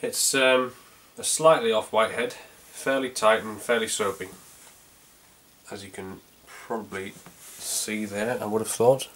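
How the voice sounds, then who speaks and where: This is a man with a North Yorkshire accent talking his way through a homemade beer review. His voice sits a touch low but comes across bright and forceful. He rambles in a friendly way, full of fillers and restarts. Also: fairly even intonation, a little slow. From North Yorkshire, England. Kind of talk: casual video beer review.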